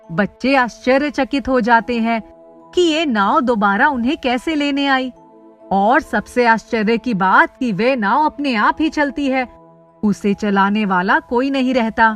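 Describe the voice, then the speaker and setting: A woman's voice: on the high side, a bit bright. A woman reading a scripted children's story as its narrator.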